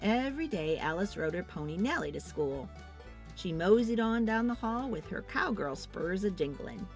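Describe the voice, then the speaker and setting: A woman narrating a children's storybook in an expressive, theatrical read-aloud style. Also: medium pitch, normal speed, soft background music.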